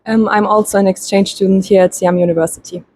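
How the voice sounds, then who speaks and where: A woman's voice: medium pitch, fairly even intonation, fast, a little mellow. Young woman introducing herself. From Germany. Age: 20s. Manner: brief self-introduction. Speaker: exchange student.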